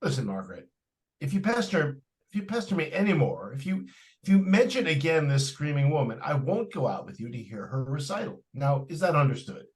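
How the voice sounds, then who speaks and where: An old-time radio family dad, acted by a man, indulgent yet firm. His pitch swoops widely through hesitant, repeated phrases, and he talks down to his listener in a patronizing way. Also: big pitch swings, fairly quick, a little mellow, poor audio. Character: distracted, indulgent but firm family father. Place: live stage radio-play performance.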